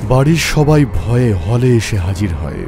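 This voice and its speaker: A man's voice: medium pitch, sing-song, moderate pace. Male horror story narrator over music.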